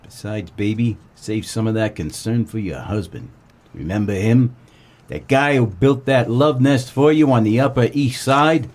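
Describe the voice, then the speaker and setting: An American man playing a world-weary, wisecracking 1940s private eye in a comedic noir radio play, with deadpan melodrama. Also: fairly low pitch, a little slow. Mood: tough, smoldering. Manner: deadpan, melodramatic tough-guy delivery.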